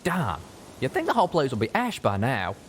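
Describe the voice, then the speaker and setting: A man plays a brash, friendly pegasus stallion in an audiobook. He calls out in a folksy manner, pitched above a plain reading voice and swinging freely, with faint noise behind. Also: wildly swooping pitch, fairly quick. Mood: exclaiming. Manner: folksy, calling out.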